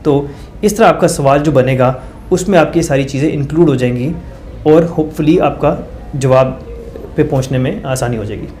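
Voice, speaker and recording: A man's voice: on the high side, moderate pace, a little mellow, slight background noise. A male doctor explaining research methods as a guest, walking through numbered points with everyday examples and hesitant fillers. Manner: hesitant fillers, mixes English phrases.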